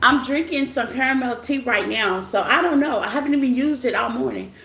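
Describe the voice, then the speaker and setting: A woman with a scratchy, rough voice hosts a casual tarot livestream, greeting viewers by name in a loose, chatty way. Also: sits high, a little fast, a bit bright. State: voice rough after a silent morning. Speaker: tarot reader hosting a live stream. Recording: echoey room with faint noise.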